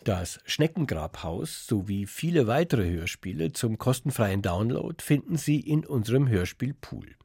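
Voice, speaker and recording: Gravelly, husky male radio host. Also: mid-range voice, animated, measured pace, hardly any pauses, darkish tone. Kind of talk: analytical interview talk and scripted sign-off.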